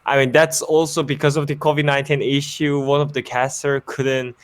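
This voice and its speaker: A young man from Busan who speaks English with a Korean accent, a former pro player and caster chatting casually in an interview, halting with many fillers and restarts. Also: fairly high pitch, flat delivery, no echo. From Busan, South Korea. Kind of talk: casual interview over a call.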